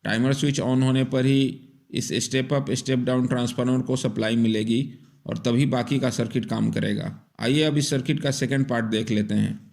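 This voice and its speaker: A man narrates a technical repair tutorial from a script. His voice sits at a medium pitch, and he explains each part methodically, one step after another. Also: steady tone, normal speed, creaky, a little mellow.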